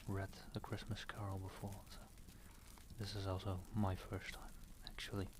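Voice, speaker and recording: A man hosting an ASMR stream, speaking softly and often slipping into a whisper as he chats and reads. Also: deep voice, completely flat delivery, moderate pace, background noise. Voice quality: soft, often breaking into whisper. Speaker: live-stream host and reader. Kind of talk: ASMR, soft casual chat and storybook narration.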